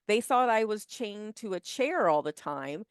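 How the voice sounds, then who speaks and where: A woman co-hosting a podcast, telling an anecdote in an animated, joking way. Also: medium pitch, unhurried, clean tone, no echo, studio quality. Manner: animated, jokey storytelling. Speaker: podcast co-host. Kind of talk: casual podcast interview.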